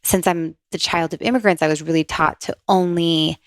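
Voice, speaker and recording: Woman, podcast host, casual solo chat, upbeat and chatty, low-pitched, dry close mic. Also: steady tone, moderate pace, no room sound. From USA, New Jersey born, raised in Miami. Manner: chatty, upbeat, laughs often. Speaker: podcast host and intuitive reader.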